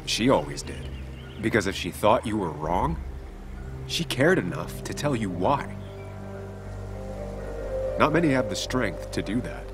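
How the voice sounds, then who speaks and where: An actor playing a calm, earnest, grieving man in a video game, speaking in a measured, solemn way. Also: on the low side, over music. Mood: solemn, tender. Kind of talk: dramatic video game acting.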